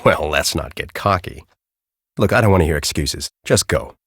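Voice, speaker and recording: An actor playing a grumpy, bossy middle-aged storm-chasing professor in a video game, with an American accent and a brusque, sarcastic, exasperated manner. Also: male voice, a bass voice, expressive, moderate pace, fairly bright tone, husky, dry recording, studio quality, exaggerated voice. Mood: bossy, curt. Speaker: video game character, research team leader.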